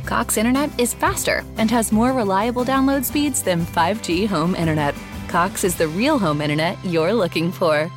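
A woman delivers a scripted commercial with a polished, persuasive read. Music plays clearly underneath her. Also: medium pitch, moderate pace. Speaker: ad voice-over.

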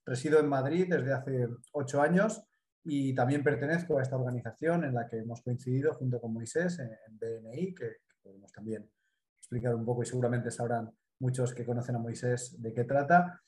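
A middle-aged man from the Mediterranean coast of Spain with a Spanish accent, a business consultant explaining his work in a measured way during a live interview. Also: medium pitch, a little mellow, echoey room.

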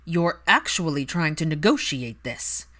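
Resentful highborn young man, performed by a female narrator, lowish register, forceful, biting and deliberate, for dramatic story reading. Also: animated, heavily acted. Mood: cold anger. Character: bitter adult aristocratic son, cold, angry, resentful.

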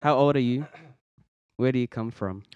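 A young man in his twenties hosts a faith chat show, his voice smooth and clear. He talks earnestly, stopping and restarting often. The sound is dry and close on a podcast mic. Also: mid-range voice, normal speed, darkish tone, no echo, lo-fi sound. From Philippines, raised in Australia. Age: 20s. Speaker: podcast host. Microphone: podcast microphone.

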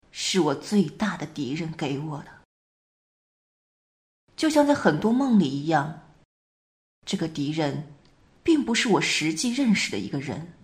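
A woman playing a troubled half-French wife who recounts a recurring dream in a measured amateur radio play monologue, with deliberate phrasing and pauses. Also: deep voice.

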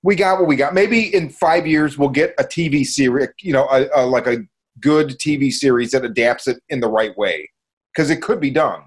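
Male co-host, mid-pitched and raspy, blunt and opinionated in loose film chat. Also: moderate pace. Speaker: podcast co-host. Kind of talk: casual chat about horror films.

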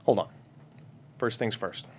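A man's voice: unhurried, dark tone, lightly acted. A man playing a suave, eager, wealthy businessman in his mid thirties at a comedy table read. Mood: breezy.

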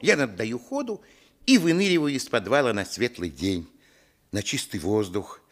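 A man acting as the reflective narrator of a radio play, recalling a village boyhood in lyrical, measured storytelling. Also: medium pitch, big pitch swings, fairly bright tone. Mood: lyrical, wistful. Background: music.

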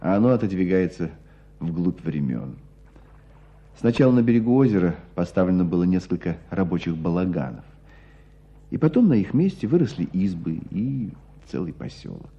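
A man acting in a radio play as a knowing hunter who tells a story with deliberate emphasis. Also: sits low, very animated, mellow, husky, exaggerated voice. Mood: animated storytelling. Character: knowing male hunter recounting an old court case. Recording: dull, muffled radio play sound.

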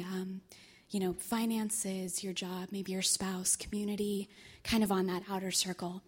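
A woman who has lost her voice, speaking hoarsely to her church congregation in a soft, searching way. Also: mid-range voice. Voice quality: raspy. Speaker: church member sharing reflections. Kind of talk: reflective talk to a congregation.

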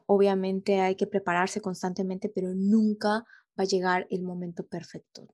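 A woman's voice: mid-range voice, little pitch movement, moderate pace, a little mellow. Mexican woman, hesitant and thoughtful. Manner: thoughtful, many hesitations and rhetorical tags. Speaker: interview guest. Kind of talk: casual interview chat.

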